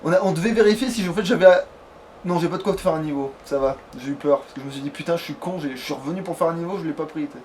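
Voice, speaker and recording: A man with a high-pitched voice commentates a video game casually. He rambles and swears freely in loose slang. The recording is an amateur one with faint noise behind him. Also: racing, darkish tone. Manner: rambling, slangy, foul-mouthed. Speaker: let's play host and player.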